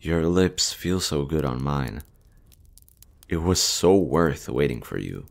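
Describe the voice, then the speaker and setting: Guy acting as a smitten army general, really low voice, gentle and earnest, romance roleplay audio. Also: very animated, takes it slowly, vocal fry, full character voice. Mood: tender, playful. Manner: tender, earnest, hesitant phrasing. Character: smitten male army general, gentle, strategic, tender lover. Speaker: voice actor.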